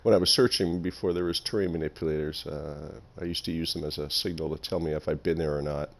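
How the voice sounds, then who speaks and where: A man hosting a casual interview, effusive and quick to giggle, with many ums. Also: extremely low pitch, steady tone, gravelly. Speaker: podcast host.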